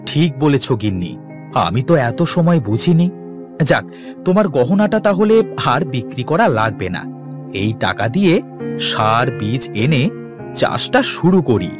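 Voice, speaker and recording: A man's voice: medium pitch, sing-song, moderate pace, over music, close to an everyday voice. A man playing a stubborn, shrewd poor village milk farmer in a Bengali cartoon. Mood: pleased. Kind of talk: Bengali moral cartoon voice acting.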